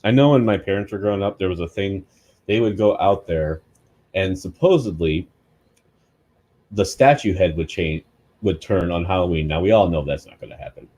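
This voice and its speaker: A man on a guest panel, telling stories in a dry, joking way with a husky voice. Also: low voice, normal speed, darkish tone, dull audio. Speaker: guest investigator. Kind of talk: casual chat.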